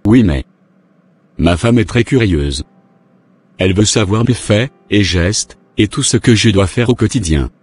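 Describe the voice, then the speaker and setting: A curt, secretive husband. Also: male voice, lowish voice, expressive, a little slow, darkish tone, breathy edge. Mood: defensive. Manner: brusque, dismissive.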